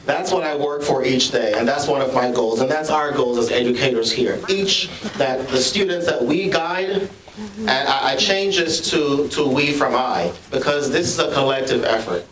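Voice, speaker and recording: A man's voice: mid-range voice, a crowd in the background. Jamaican man, school leader, earnest speech, picked up from afar in an echoey library, faint hiss. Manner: earnest, measured, with repeated restarts. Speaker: school administrator. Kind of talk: ceremony speech. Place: school library, large room. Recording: echoey room, from far off.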